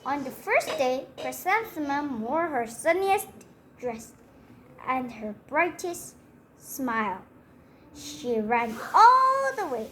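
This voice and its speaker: High-voiced woman reading a story slowly. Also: very animated, pushed and bright, a little hiss or hum. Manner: deliberate, pausing between short phrases. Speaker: storyteller reading a picture book aloud. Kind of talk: read-aloud children's story.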